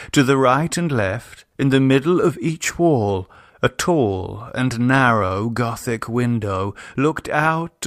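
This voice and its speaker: A man narrates classic horror fiction with a theatrical, measured delivery. His voice sits at a medium pitch and he takes his time over each phrase, very slowly and deliberately. The sound is close and dry, with no room around it. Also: no room sound. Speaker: audiobook narrator. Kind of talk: dramatic scripted narration of a classic horror story.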